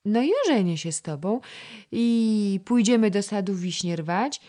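A little girl chatters away in a high, sing-song voice, wheedling and eager to play, as a woman reads her aloud. The voice leaps about brightly and never settles, sweet and insistent in a storybook way. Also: unhurried, close to an everyday voice. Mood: playful. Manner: high, lively, coaxing childlike voice. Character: chatty little girl, a playful young princess's ward.

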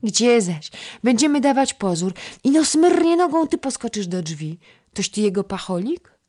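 A woman voicing a chatty, playful little girl who coaxes a boy with a high, lively childlike voice. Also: moderate pace. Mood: coaxing.

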